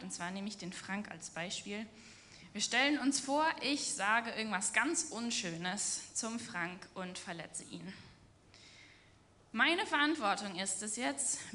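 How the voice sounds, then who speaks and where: Bright-voiced woman giving a sermon. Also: on the high side, slight background noise. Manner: earnest, explanatory, acts out quoted speech. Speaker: preacher in a church. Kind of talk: sermon with personal anecdote.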